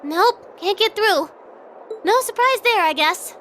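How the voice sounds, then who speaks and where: A woman's voice: extremely high pitch, expressive, slow, fairly bright tone, a little hiss or hum, heavily acted. An actress playing a perky, cheeky young girl agent in an anime-style video game, with a breezy, matter-of-fact manner. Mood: breezy, rueful.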